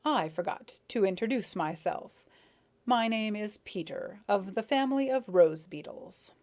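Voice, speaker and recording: A woman's voice: medium pitch, expressive, a little mellow, natural voice. Woman playing a male rose beetle, pompous, indulgent, touchy, storybook. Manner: indulgent, self-satisfied, quick to anger.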